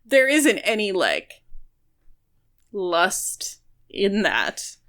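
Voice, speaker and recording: A woman's voice: medium pitch, huge pitch swings, very slow, a bit bright. Female podcast co-host, mid-range voice, analytical, talks through a book casually and at length. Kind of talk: casual book discussion chat.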